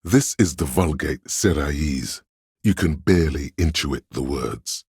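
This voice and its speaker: Very deep, erudite encyclopedic inner voice. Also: male voice, a little mellow, breathy edge, no room sound, studio quality, full character voice. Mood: measured, informative. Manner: measured, erudite, declarative delivery. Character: encyclopedic inner voice of trivia, learned, solemn. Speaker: video game character voice. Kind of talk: scripted game narration.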